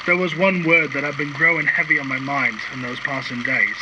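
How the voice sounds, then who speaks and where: Guy doing gruff brooding british working bloke, radio drama, noisy background. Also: mid-range voice, fairly even intonation, fairly quick, muffled recording, natural voice. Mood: brooding, grim. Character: gruff, world-weary British working man narrating his story. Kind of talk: radio drama dialogue and narration. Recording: noisy radio-style ambience.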